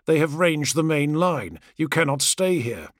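A man voicing a dazed armoured warrior from a story, loud and urgent in a compelled military declaiming manner. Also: on the high side, sing-song, measured pace, no echo, studio quality. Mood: urgent, commanding. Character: dazed armoured warrior, blurting old battle warnings.

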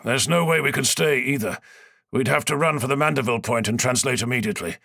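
A man's voice: mid-range voice, quick pace, creaky, sharp tone, dry recording, studio quality. A man voicing a blunt, loyal male starship captain who reports grimly to his lord. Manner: grim, plain-spoken.

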